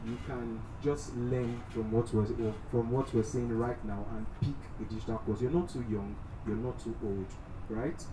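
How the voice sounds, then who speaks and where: A man's voice: medium pitch, fairly even intonation, moderate pace, recorded from a distance. Nigerian man speaking in an echoey, noisy venue. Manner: frequently checks in with "right?". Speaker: guest speaker. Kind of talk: motivational talk to a live audience. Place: event space in a mall.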